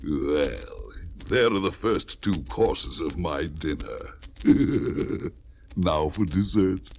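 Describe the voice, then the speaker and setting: An actor playing a gloating, menacing big bad wolf in a theatrical, taunting way on a 1960s children's story record. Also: male voice, sits low, expressive, very slow, heavily acted. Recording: 1960s record with faint noise.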